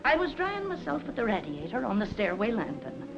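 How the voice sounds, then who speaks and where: A woman's voice: fairly high pitch, huge pitch swings, brisk, vocal fry, noisy background, exaggerated voice. An actress in a 1940s film playing a talkative, indignant working-class woman with colloquial grammar and a dramatic way of speaking. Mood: dramatic recounting. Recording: dull 1940s film soundtrack with music.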